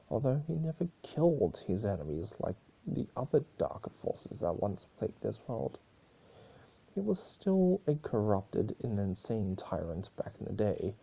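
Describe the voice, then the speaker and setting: Male narrator for dramatic fiction: low, gravelly, very dark tone, expressive pitch. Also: low-quality recording. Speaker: narrator reading fan fiction aloud. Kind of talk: dramatic story reading.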